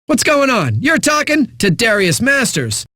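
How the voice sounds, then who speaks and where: An actor playing a cocky, flippant eighties-hits radio DJ in a video game, with smug, punchy announcing and dramatic pauses. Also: male voice, very high-pitched, huge pitch swings, pushed and bright, no echo, studio quality, full character voice. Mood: smug, hyped. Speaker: radio DJ, host. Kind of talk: 1980s music radio DJ patter.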